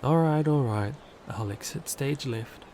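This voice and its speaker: A man's voice: mid-range voice, a little slow, mellow, a little hiss or hum, natural voice. A man playing a confident, self-centered handyman in an audio roleplay, teasing and cocky. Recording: music bed underneath.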